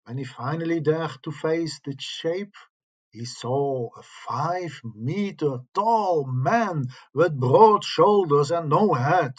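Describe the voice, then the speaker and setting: A man reading scripted tales aloud as a storyteller, with a husky voice. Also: a little high, animated, slow pace. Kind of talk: slow scripted ghost story narration.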